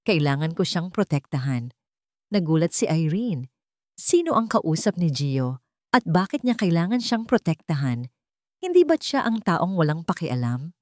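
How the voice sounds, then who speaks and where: A woman's voice: deep voice, a little mellow, no echo, studio quality. A woman reading a scripted romance story as a dramatic narrator.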